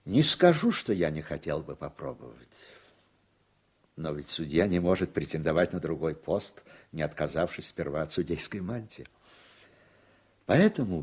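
An actor playing a straightforward, ambitious judge in a radio drama, measured and authoritative, with a husky voice. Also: male voice, a bass voice, darkish tone, exaggerated voice. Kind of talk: Soviet-era radio drama acting.

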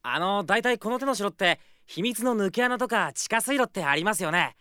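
A brash young male thief played by an actor in a radio drama. The voice is bright and animated, casual and cheeky, recorded very dry. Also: mid-range voice, moderate pace, clean tone, no echo. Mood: eager, cheeky. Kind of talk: Japanese radio drama acting.

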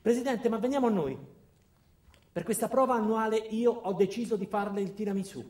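A man with a slightly high voice performs a theatrical radio monologue in a roomy, echoing space. He plays an ordinary company man turned coldly cruel, addressing his boss with deliberate, deadpan control. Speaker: actor performing a solo monologue.

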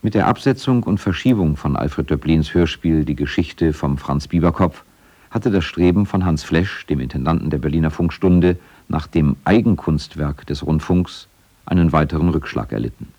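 A man's voice: rumbling low, normal speed, darkish tone. A man narrating a scripted radio documentary in a formal, factual manner.

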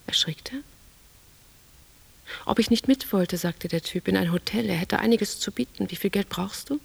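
A woman's voice: mid-range voice, normal speed, vocal fry, breathy edge. An actress playing a middle-aged, weary travelling stage woman, speaking an introspective inner monologue in sparse fragments for a radio play. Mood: quiet, weary. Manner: sparse, fragmentary, reflective phrases.

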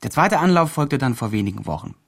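Husky, self-justifying do-gooder. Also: male voice, medium pitch, sing-song, fast talker, a bit bright, full character voice. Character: well-meaning, self-justifying helper reporting on his protégé. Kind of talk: radio play monologue, report-like narration.

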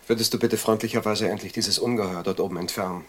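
A man's voice: fairly low pitch, fairly even intonation, fast talker, a bit bright. An actor playing an irritable, paranoid man with haunted memories, agitated and insistent, in a radio drama. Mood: irritated, insistent. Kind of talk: German radio drama acting.

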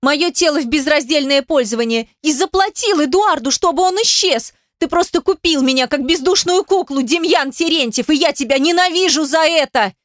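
A woman's voice: a little high, big pitch swings, normal speed, no room sound, studio quality. A woman voicing an embittered, hysterical young mother in an audiobook drama, with breathless, tearful outbursts. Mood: furious, shouting.

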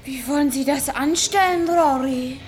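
A woman's voice: high-pitched, normal speed, lightly acted. An actress in a German radio play voicing a young, anxious, pouting runaway niece, plaintive and girlish. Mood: pouting, reproachful.

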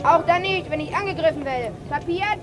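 A woman's voice: very high voice, brisk, a bit bright, a little hiss or hum. A woman playing a swaggering schoolboy gang leader in a German radio play, barking boastful orders. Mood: commanding.

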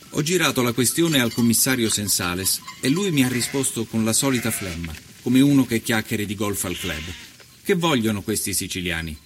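An actor playing an observant, composed newspaper journalist, narrating a scripted historical documentary. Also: male voice, on the low side, a little hiss or hum, lightly acted.

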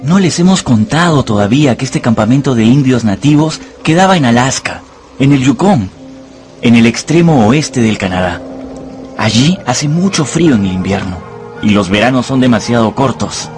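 Male narrator for dramatized audiobook, average register, expressive, measured delivery. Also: mid-range voice, soft background music.

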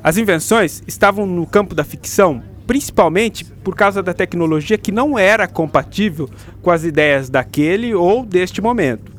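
An actor playing a patient, enthusiastic school teacher with a Brazilian accent, lecturing in a radio drama. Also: male voice, high voice, lively intonation, normal speed, few pauses. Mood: explaining. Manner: didactic, explanatory.

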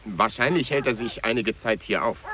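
A man playing a reserved, courteous gentleman in a German radio play, speaking in formal, polite phrases. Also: fairly low pitch, moderate pace, a crowd in the background, lightly acted. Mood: smooth, assuring. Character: reserved, courteous gentleman stranger, smooth-talking uncle. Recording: distant, hissy old radio tape.

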